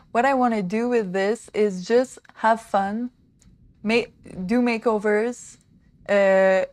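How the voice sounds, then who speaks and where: Woman, 30s, livestream makeover host; slow, rambling, excitable; dry close mic. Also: mid-range voice, no room sound. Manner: rambling, excitable, many fillers like "like" and "um". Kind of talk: casual chatty livestream talk. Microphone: small desk mic.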